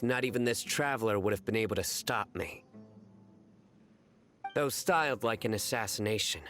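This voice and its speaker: A grave, proud enforcer from a video game. He has a fairly low voice and talks in solemn, formal, threatening phrases. Music plays underneath. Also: a bit bright. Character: stern young male enforcer, proud, grave hunter of wrongdoers. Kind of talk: scripted video game dialogue.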